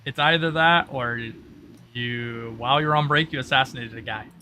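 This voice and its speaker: A man's voice: mid-range voice, normal speed, pushed and bright, a little hiss or hum. A man talking casually as game master of a tabletop roleplaying game, explaining rules in an accommodating way.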